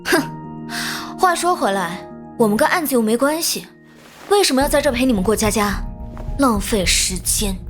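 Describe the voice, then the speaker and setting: Actress as skeptical young woman, highish voice, lively swings, pointed and haughty, crime cartoon, faint music. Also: moderate pace, pauses often, close to an everyday voice. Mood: disdainful. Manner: challenging, pointed. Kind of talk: animated crime drama acting.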